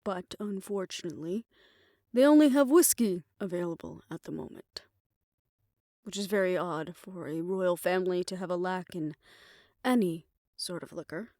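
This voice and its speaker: A woman playing a weary, proud aging fixer talking softly and wryly to her lover in an intimate roleplay audio drama. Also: lowish voice, normal speed, no echo, clean studio sound, natural voice. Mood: wry, confiding.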